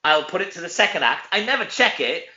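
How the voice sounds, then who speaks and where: Welsh male actor as interview guest, higher-pitched and bright, jokey and chatty, recorded in an echoey room. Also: fast talker. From Wales. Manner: animated, self-deprecating joking. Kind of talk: casual chat interview. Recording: dull, echoey room sound.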